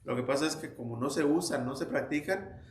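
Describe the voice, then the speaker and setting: A man's voice: a little high, brisk. Man teaching Sunday school. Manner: storytelling, conversational, with many restarts and quoted anecdotes. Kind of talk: informal Bible class teaching. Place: church classroom. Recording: echoey church room, faint ambience.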